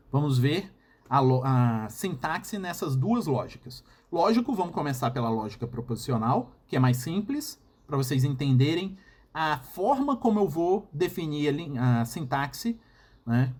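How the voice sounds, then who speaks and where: A man with a Brazilian Portuguese accent and a husky voice, giving a recorded university lecture and often checking in with the class. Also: a little high. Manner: explanatory, frequent checking "tá?" and "né?".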